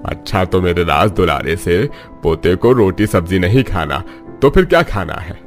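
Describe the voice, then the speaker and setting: Old vegetable seller voiced by a man: humble, gentle cartoon grandpa, lower register, faint music. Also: very animated, moderate pace. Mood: affectionate. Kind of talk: Hindi cartoon story acting.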